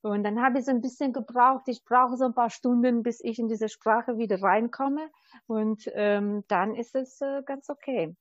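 A woman's voice: fairly high pitch, moderate pace, no echo. Albanian-accented woman in her thirties, dull dry sound. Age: 30s. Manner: hesitant, many ums and restarts. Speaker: interviewee. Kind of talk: conversational interview.

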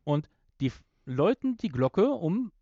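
A man talking casually as a podcast host, halting and circling back to rephrase his points. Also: on the high side, big pitch swings, mellow, dry recording, lo-fi sound. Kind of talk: casual discussion of an audio drama episode.

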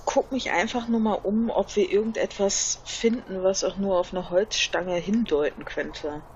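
A woman's voice: mid-range voice, unhurried, a little mellow, dull audio, a little hiss or hum. A woman, a contestant talking casually and unscripted in a game.

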